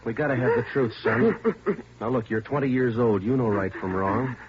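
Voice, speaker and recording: An actor playing a terse police detective interrogating suspects in a 1950s radio drama, clipped and matter-of-fact. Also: male voice, mid-range voice, moderate pace, natural voice. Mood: firm. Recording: dull old radio broadcast with faint hiss.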